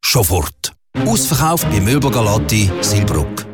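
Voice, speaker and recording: A man's voice: fairly low pitch, big pitch swings, a little slow. A man reading a scripted retail sale advert for radio as a voice-over. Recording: ad voice over loud music. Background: loud music.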